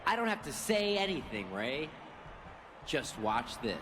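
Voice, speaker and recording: A man's voice: medium pitch, very animated, a little slow. A man playing a confrontational, defiant wrestler in a video game cutscene. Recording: heavy background noise.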